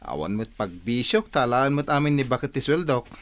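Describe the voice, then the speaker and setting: A man's voice: medium pitch, animated, moderate pace, close to an everyday voice. Man acting a stammering, swoopy henpecked Ilocano husband. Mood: meek, resigned. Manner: stammering, dim, startled "huh?" replies. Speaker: radio drama actor. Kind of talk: Ilocano radio comedy drama acting.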